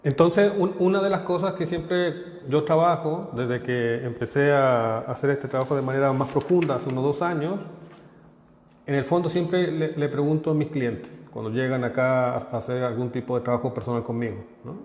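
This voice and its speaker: A Chilean man giving a live workshop talk as a consultant. He speaks haltingly, repeats words and tags his phrases with checks to the audience. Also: medium pitch, steady tone, a little mellow. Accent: Chilean, partly Mexican. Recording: echoey room with long reverb.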